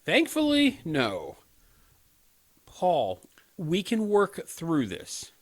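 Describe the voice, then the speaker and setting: An actor playing an earnest, inexperienced young crisis counselor in a radio play, hesitant and pleading. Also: male voice, a little high, huge pitch swings, drawn-out words, heavily acted. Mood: pleading, unsure. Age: 20s.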